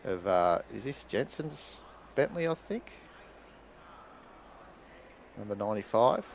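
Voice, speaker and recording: A man co-commentating a sim race in a relaxed, conversational way with frequent fillers. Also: lowish voice, takes it slowly, vocal fry, slight background noise.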